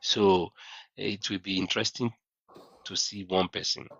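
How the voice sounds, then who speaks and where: Male livestream host, very low voice, questioning; dry, muffled lo-fi audio. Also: little pitch movement, measured pace, creaky, fairly bright tone, slightly rough, no echo. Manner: questioning, reads out viewer questions. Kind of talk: livestream interview.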